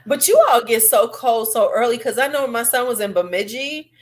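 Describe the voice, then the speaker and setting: Midwestern lady hosting a livestream, chatty, rambly, kinda high voice. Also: expressive, a little fast. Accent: Chicago, Midwestern. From American Midwest. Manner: chatty, rambling, laughs often. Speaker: livestream host. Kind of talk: casual live chat.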